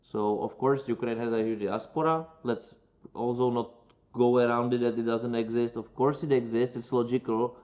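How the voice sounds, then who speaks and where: A man's voice: medium pitch, fairly even intonation, moderate pace, darkish tone. A man from Czechia with a Czech accent, hosting a casual Q&A and giving strong opinions in loose, non-native English with frequent restarts. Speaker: channel host answering viewer questions.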